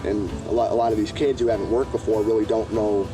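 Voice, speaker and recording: A talkative man from Ohio speaks in an easygoing way about his work history. His voice sits on the low side. Clear music plays behind him. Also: level tone, fast talker, low-quality recording. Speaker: job training participant. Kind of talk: documentary interview.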